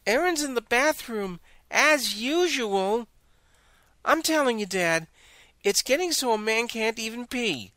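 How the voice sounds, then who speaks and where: An actor playing a good-natured, exasperated young son in an audio drama. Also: male voice, very high voice, expressive, unhurried, sharp tone, no room sound, exaggerated voice. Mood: grumbling.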